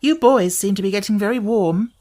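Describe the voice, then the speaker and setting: A woman's voice: medium pitch, brisk, warm tone, voice pushed up, natural voice. A woman voicing a polite, eager young girl in a storybook, in a well-mannered way. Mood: cheerful.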